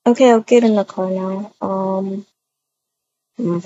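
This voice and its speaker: A woman improvising roleplay dialogue for a video game in a hesitant, halting way with many hums. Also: mid-range voice, fairly even intonation, dark tone. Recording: dull muffled audio, faint noise.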